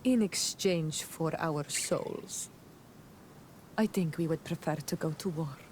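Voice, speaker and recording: A woman's voice: low-pitched, takes it slowly, a little mellow, slight background noise. Proud, defiant young clanswoman. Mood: bitter, defiant. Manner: blunt, accusing, solemn diction. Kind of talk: video game cutscene acting.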